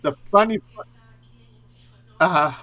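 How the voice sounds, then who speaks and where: A man's voice: on the high side, takes it slowly, bright, forceful tone, muffled recording. A man guesting on a casual livestream chat, laughing easily and rambling through his stories. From Mexico. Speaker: guest tarot reader.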